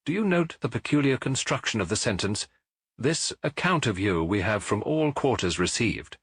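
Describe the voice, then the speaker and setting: A man voicing a keen, self-assured Victorian gentleman detective in an audiobook, with a British accent and an incisive, didactic manner. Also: medium pitch, animated, normal speed, slightly rough, no echo, studio quality. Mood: confident, commanding. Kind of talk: audiobook character voice.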